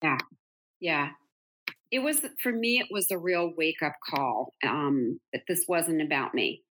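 A woman's voice: sits low, lively intonation, a little slow, a bit bright, slight background noise. A middle-aged woman guest, telling her story in an earnest, emphatic way during an interview conversation. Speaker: interview guest, author and speaker.

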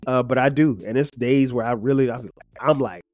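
A man in his fifties, his voice slightly nasal and stuffed-up, talks through a solo podcast in a loose, meandering way, full of fillers and restarts. His voice sits at an ordinary middle pitch. Faint music plays quietly underneath. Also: brisk, dry recording, studio quality. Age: 50s. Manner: relaxed, rambling, frequent fillers and restarts. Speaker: podcast host. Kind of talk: casual solo podcast reflection.

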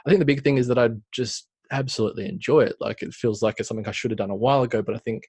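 A man's voice: medium pitch, very fast, darkish tone, breathy edge. A man in his thirties, a small business owner, talking reflectively in a casual interview with many fillers. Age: 30s.

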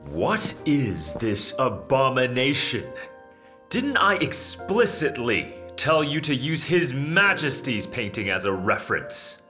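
An actor playing a stern, haughty older headmaster in a radio drama, with a pompous, scolding manner. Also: male voice, medium pitch, very animated, slow, music in the background. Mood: indignant. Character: stern, haughty older male headmaster of imperial painting academy.

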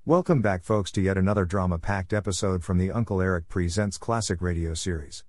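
A man's voice: low-pitched, a little fast, over loud music. A man reading a scripted podcast introduction and sponsor message as host.

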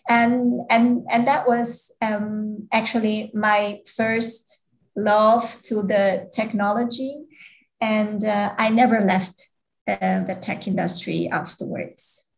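A woman from Switzerland talks as an interview guest at a medium pitch. She speaks hesitantly, restarting her sentences often and using non-native grammar. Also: level tone, takes it slowly, vocal fry, low-quality recording. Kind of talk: casual interview talk.

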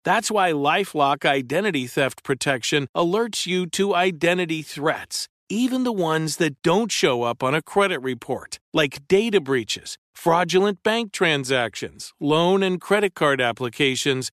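Dry, higher male ad voice. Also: expressive, unhurried, few pauses, fairly bright tone, no room sound. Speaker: ad reader. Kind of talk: commercial read.

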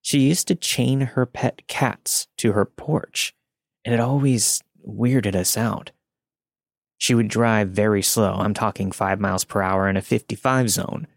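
A man's voice: lowish voice, creaky, no room sound, studio quality. A man reading a scripted first-person spooky story as a podcast narrator. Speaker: podcast host reading listener stories.

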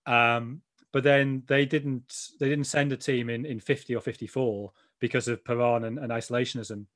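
A man co-hosting a football history podcast, chatting casually and getting animated as he tells the story. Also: medium pitch, moderate pace. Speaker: podcast co-host. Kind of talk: casual chat, reading a quote aloud.

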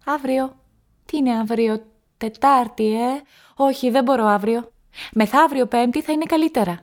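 A woman's voice: fairly high pitch, close to an everyday voice. A young woman acting as a frank student neighbour in a radio drama, speaking matter-of-factly. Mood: hesitant, friendly.

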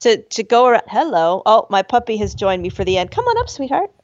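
A woman with a high voice chats and laughs as a podcast co-host, slipping into squeaky voices when she quotes herself. The recording is dull and slightly lo-fi. It sounds dry, with no room echo. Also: big pitch swings, fairly quick, darkish tone. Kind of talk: casual chat. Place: at home with a dog.

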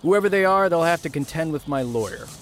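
Entitled male heir, played by an actor, higher-pitched, smug and irritable, audio drama with ambient noise. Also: animated, brisk, lightly acted. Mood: irritated. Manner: smug, impatient. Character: entitled, irritable male heir to small-town family fortune. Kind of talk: naturalistic audio drama dialogue.

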